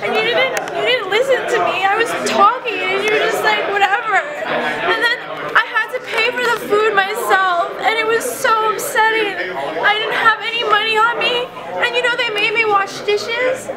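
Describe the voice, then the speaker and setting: Young female improviser, extremely high pitch, melodramatic delivery, noisy crowd around her. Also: steady tone, normal speed. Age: young adult. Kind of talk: improvised acting, melodramatic complaint. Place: busy large indoor space. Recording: loud crowd chatter in large room.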